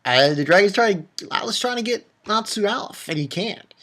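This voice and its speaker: A man's voice: sits high, lively intonation, normal speed, a bit bright. A man giving an unscripted, casual review as host, blunt and emphatic, swearing freely and rambling through repeated false starts. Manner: ranting, emphatic, blunt and profane. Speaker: manga review video host. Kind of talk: casual off-the-cuff review and recap.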